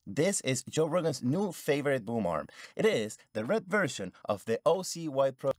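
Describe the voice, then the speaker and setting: A man's voice: mid-range voice, very animated, normal speed, no room sound, studio quality. A man in his forties presenting a scripted product review to camera. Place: indoor studio room. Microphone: microphone on a boom arm, up close.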